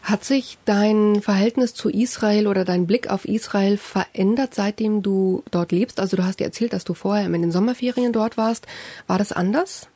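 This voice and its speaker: A woman hosting a studio talk show, asking clear, measured questions. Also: mid-range voice, warm tone, no room sound. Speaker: radio host. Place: radio studio.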